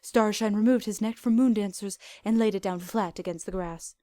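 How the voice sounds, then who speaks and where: A woman reading fan fiction aloud as an expressive scripted narration. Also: mid-range voice, moderate pace, no echo.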